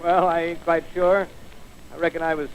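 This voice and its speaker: An actor playing a folksy, slow-witted country farmer with a rural American drawl in a 1930s radio drama. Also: male voice, on the high side, little pitch movement, lightly acted. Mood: folksy, bemused. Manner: folksy, rambling, rustic drawl. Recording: noisy vintage 1930s radio broadcast.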